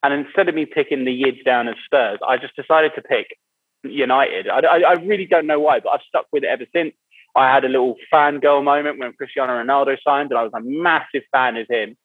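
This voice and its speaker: A laid-back, teasing man chatting on a podcast and swearing casually. His voice is a bit husky. It comes through a thin, phone-like remote line. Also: medium pitch, fairly quick. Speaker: podcast co-host. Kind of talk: casual chat.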